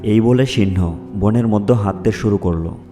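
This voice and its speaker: A man's voice: lowish voice, moderate pace, over music. A man narrating a scripted animal fairy tale as a storyteller.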